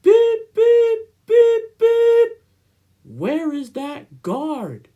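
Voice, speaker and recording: Guy doing an eager little train engine from a kids book, way up high, exclaims everything. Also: huge pitch swings, extremely slow, warm tone, muffled recording, exaggerated voice. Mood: anxious, cross. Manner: exclaiming, with high whistling beeps. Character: eager little male steam engine, easily excited.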